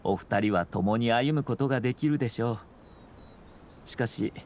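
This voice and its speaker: A young noble commissioner of middling pitch speaks through a male actor with measured courtesy and calm control. The style is polished anime game acting, with formal, polite phrasing. A faint ambience sits behind the voice. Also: slight background noise, natural voice. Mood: calm, assured.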